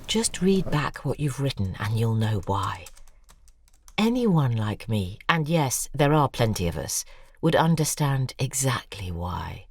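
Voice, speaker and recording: A woman's voice: very deep voice, very animated, measured pace, breathy edge, no room sound, full character voice. An actress playing a cold, haughty woman in an audio drama, speaking in an articulate, disdainful and cutting way. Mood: dry disdain. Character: cold, haughty older woman, scornful former object of a crush. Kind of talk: audio drama acting, reading out emails.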